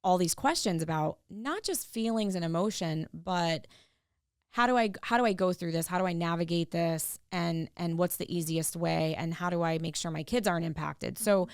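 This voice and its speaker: A middle-aged woman, a guest on a podcast, talking earnestly and warmly about her work and family. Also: on the low side, moderate pace, clean tone, dry recording, studio quality. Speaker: podcast guest, tax professional. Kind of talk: casual interview chat.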